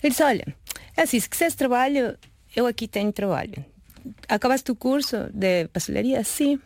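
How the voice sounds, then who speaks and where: A woman's voice: a little high, expressive, moderate pace, darkish tone, no echo. Chatty woman in her late thirties. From Mexico. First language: Spanish. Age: late 30s. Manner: informal, chatty, acting out reported conversations. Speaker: guest, pastry chef. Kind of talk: casual autobiographical storytelling in an interview.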